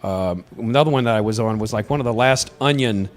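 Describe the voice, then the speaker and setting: A man's voice: on the low side, fast talker. A man working as a voiceover actor, telling casual anecdotes as an interview guest.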